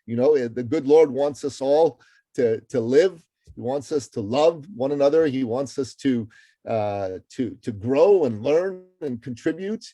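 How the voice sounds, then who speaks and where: A middle-aged man from Iran speaks as an interview guest, his voice mellow and dark. He talks with energy and emphasis, stacking phrases and repeating words as he builds his point. The sound is dry and close, with little room. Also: mid-range voice, a little slow, no echo. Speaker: guest, author and entrepreneur. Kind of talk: interview conversation.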